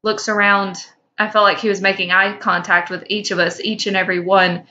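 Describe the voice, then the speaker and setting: A bright, forward-voiced woman co-hosts a podcast in an informal way. She sounds at home reading stories or chatting. The audio is a little dull, with a faint layer of noise behind her. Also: medium pitch, flat delivery, brisk. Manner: informal, teasing, profane. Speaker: podcast co-host. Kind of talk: reading fan fiction aloud and casual banter.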